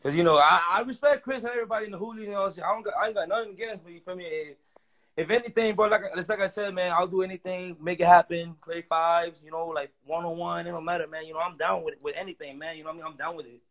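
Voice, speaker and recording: A young man in his twenties talking quickly into his phone's front camera, his voice a little raised in pitch. He keeps restarting his sentences, slangy and insistent. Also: steady tone, a bit bright. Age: 20s. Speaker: livestream guest. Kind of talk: casual chat.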